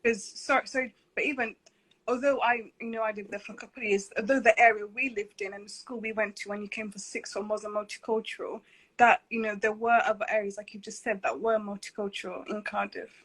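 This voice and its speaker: A woman hosting a live online podcast interview. She speaks at an ordinary pitch and in a friendly way, with ums and you-knows between thoughts, and she sounds like she is on a video call. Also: steady tone, a little fast, fairly bright tone, husky, rough recording. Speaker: podcast host.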